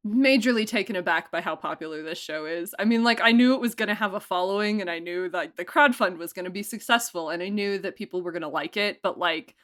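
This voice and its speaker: A woman's voice: lowish voice, quick pace, few pauses, a bit bright. A woman speaking casually as a producer in an interview, effusive and emphatic, with a joking way of talking. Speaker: audio drama producer and director being interviewed. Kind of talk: casual behind-the-scenes interview.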